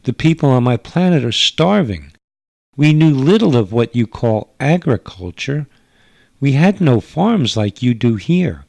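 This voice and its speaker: A man plays an alien mother in a sci-fi radio play, recorded close and dry. She speaks in formal, careful phrases, earnest and stern, sometimes halting for a word. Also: mid-range voice, sing-song, vocal fry, darkish tone, no echo. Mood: earnest, pleading. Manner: formal, careful foreigner's wording, halting for words. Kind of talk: radio drama narration with character voices.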